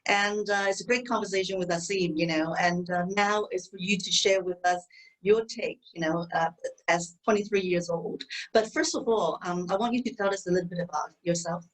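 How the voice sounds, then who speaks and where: A woman's voice: lowish voice, fairly even intonation, fairly quick, poor audio. A woman hosting a webinar over a video call, posing questions in a chatty way with many fillers. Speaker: webinar host. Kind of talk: moderating questions.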